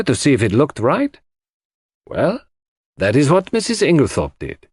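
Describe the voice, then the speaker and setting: Man voicing a shrewd, courteous detective, lively pitch. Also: no echo, clean studio sound. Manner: formal, precise, explanatory. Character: shrewd, courteous male foreign detective, fond of theories. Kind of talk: audiobook character dialogue.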